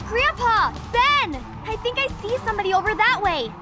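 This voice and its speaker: A woman voicing a sensible, sarcastic young girl with a dry, scolding manner in an animated game. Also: very high-pitched, normal speed, loud music behind the voice. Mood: worried. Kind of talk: animated video game cutscene acting.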